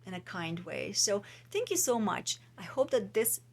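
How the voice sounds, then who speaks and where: A woman's voice: medium pitch, very animated, normal speed. A woman talks casually and reflectively to viewers, musing and often searching for her words. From Bulgaria. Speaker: vlogger and podcast host; trauma practitioner.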